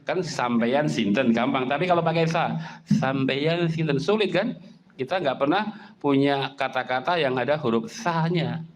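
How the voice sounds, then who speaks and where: A middle-aged Javanese man giving a lively sermon, with a Javanese accent, often jumping up in pitch for emphasis and asking rhetorical questions. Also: measured pace, a little hiss or hum. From Java, Indonesia. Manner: animated, swings pitch up for emphasis, rhetorical questions, mimics speech. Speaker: Islamic preacher.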